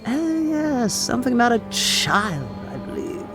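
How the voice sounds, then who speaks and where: Man playing a drawling, creaky, vain god. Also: extremely high pitch, very animated, unhurried, music in the background, exaggerated voice. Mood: bored, offhand. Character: vain, offhand male god, needling and self-regarding. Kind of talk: fantasy audio drama acting.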